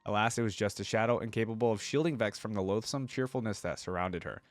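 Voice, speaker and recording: Low-voiced man, casual reaction host. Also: fast talker, dry recording. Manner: informal, thinks aloud with frequent asides. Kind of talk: casual reaction commentary mixed with reading aloud.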